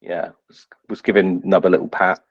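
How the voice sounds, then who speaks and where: A man's voice: low voice, flat delivery, normal speed, lo-fi sound. A man talking casually as a player in a tabletop game, describing what his character does.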